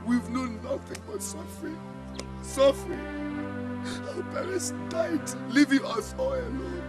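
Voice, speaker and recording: An actor with a Nigerian accent playing a hard-done-by orphaned elder brother in a film drama, plaintive and repetitive, over music. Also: male voice, very high voice, lively intonation, unhurried. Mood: despairing. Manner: plaintive, repeating key words. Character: despairing orphaned elder brother worn down by hardship.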